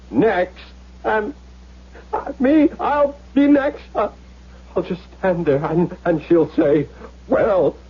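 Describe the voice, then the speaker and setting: An actor playing a frightened, shell-shocked orphan boy who is relearning speech, stammering out his words haltingly in a radio drama. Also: high-pitched, husky, heavily acted. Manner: halting, stammering, effortful word by word. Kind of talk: 1970s radio drama acting. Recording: dull lo-fi 1970s radio broadcast.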